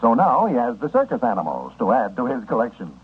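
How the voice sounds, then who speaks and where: A man's voice: mid-range voice, huge pitch swings, fast, slightly rough. An American man as a 1930s radio announcer, delivering scripted advertising and story introductions in an emphatic, folksy sales style. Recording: dull, noisy 1930s radio recording.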